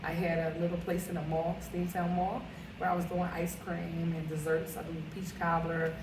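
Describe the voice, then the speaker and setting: A woman's voice: lowish voice, little pitch movement, moderate pace, distant mic. Chatty woman recorded echoey and off-mic. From Philadelphia. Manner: chatty, rambling, with many fillers. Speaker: caterer and realtor, interview guest. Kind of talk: casual interview conversation. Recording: echoey room, off-mic, noisy.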